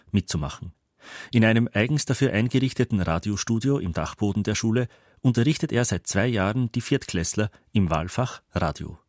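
Lowish male radio presenter, dry studio sound. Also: a little mellow, no echo. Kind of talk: scripted broadcast introduction.

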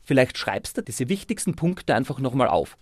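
A man's voice: medium pitch, sing-song, normal speed, no room sound, studio quality. An Austrian man of forty speaking informally and encouragingly, like a teacher explaining things to pupils. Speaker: primary school teacher and musician. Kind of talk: casual explaining and coaching.

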